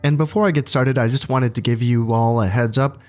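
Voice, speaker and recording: A man talks through his strategy game campaign with a stuffed-up, congested voice. He sounds a little low on energy, thinking aloud in a relaxed way at an ordinary, middling pitch. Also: fairly quick. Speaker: gaming channel host. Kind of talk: casual strategy game commentary.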